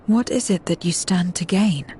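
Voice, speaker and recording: A woman acting a solemn, detached questioner in a video game cutscene, speaking in a measured, formal, probing way. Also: fairly low pitch, dark tone, faint music behind, close to an everyday voice. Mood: probing, puzzled.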